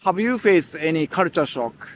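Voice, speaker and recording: A man's voice: on the high side, lively intonation, moderate pace, slight background noise. A man interviewing tourists on a beach, asking short upbeat questions. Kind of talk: street interview. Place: beach outdoors.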